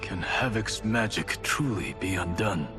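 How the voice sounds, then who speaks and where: A man's voice: sits low, lively intonation, a little slow, music in the background. A man playing a grave, thoughtful warrior in a video game, restrained and serious. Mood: uncertain. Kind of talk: video game cutscene acting.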